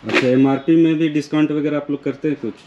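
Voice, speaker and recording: A man's voice: on the high side, steady tone, fast talker, muffled recording. A man casually asking questions and acknowledging the answers, as a vlogger interviewing shop staff. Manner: inquisitive, repeatedly acknowledging answers. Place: small helmet shop indoors, glass front on busy street. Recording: clear background noise, clicks.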